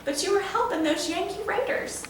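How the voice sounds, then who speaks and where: A woman's voice: extremely high pitch, brisk, voice pushed up. A woman voicing a curious, questioning young male listener in a children's storybook. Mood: challenging. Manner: questioning, wondering. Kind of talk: children's storybook read-aloud. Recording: roomy, echoing, distant mic with faint noise.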